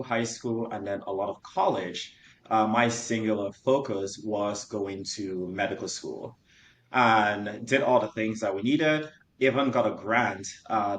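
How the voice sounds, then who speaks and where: A low-voiced young man from Cameroon talks through his background in earnest detail, pausing on plenty of ums and likes. The room is echoey, with a faint rumble underneath. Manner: earnest, detailed storytelling with fillers. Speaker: startup founder. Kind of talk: interview answers. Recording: roomy echo, faint rumble.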